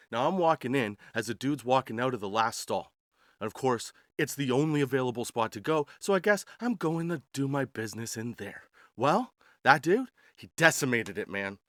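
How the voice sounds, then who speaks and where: A man's voice: mid-range voice, a bit bright, no room sound, studio quality. A man telling casual comedic stories in a slangy, crude, sarcastic way. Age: 40s. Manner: slangy, crude, sarcastic, addressing listeners as dude. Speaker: podcast host telling travel stories.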